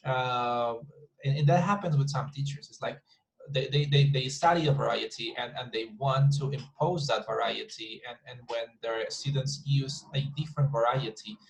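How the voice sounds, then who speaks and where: A man with a Colombian accent teaches an English class over a video call, speaking into his computer mic. His voice sits at a middle pitch, and he restarts his sentences often while calling his students "guys". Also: poor audio. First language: Spanish. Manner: repeats words often, talks to the class as "guys". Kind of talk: online class lecture.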